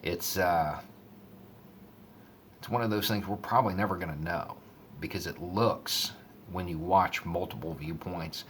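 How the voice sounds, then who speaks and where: A man giving casual, conversational commentary and storytelling in a measured way. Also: low-pitched, a bit bright, a little hiss or hum. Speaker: online commentator.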